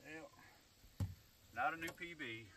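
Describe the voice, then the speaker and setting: A man's voice: a little high, slow pace. American man vlogging outdoors, noisy distant sound. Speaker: fishing vlogger. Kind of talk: casual outdoor fishing vlog. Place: open boat on a wide river, outdoors. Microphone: camera at arm's length or across the boat. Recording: outdoor wind and water noise, often distant.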